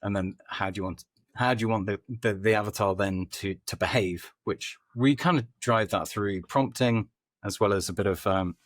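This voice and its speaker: Low-voiced man explaining in an interview. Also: moderate pace, no echo, studio quality. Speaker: podcast guest, company head of growth. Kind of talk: conversational interview answers.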